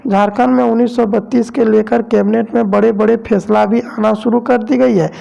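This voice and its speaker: A man reads scripted news. His voice sits high and stays on a flat, monotone line, with heavy creak throughout. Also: moderate pace. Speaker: news narrator.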